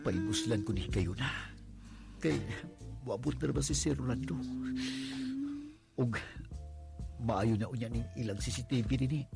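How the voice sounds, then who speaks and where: A man with a Cebuano accent playing a sly, lustful, deferential guard in a radio drama, stammering and muttering to himself in a husky, breathy voice. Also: medium pitch, unhurried, pauses often, over loud music. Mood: lecherous. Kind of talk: Filipino radio drama acting.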